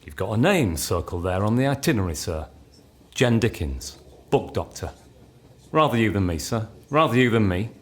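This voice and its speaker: An actor playing a nosy train guard in a radio play, pointedly polite yet blunt and needling. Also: male voice, on the low side, very animated, moderate pace. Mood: ominous needling. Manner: sing-song ticket call, pointedly polite, blunt.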